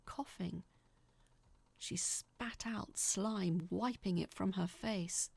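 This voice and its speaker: A woman reading a scripted first-person story as narrator, in a measured, dramatic storytelling manner. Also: medium pitch, creaky, darkish tone, husky, dry recording.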